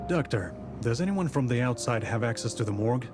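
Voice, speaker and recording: Measured, courteous period detective played by an actor. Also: male voice, medium pitch, expressive, music in the background, natural voice. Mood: businesslike, probing. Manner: measured, polite, formal. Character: composed, courteous, authoritative 19th-century male detective from the capital. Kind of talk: scripted visual novel voice acting.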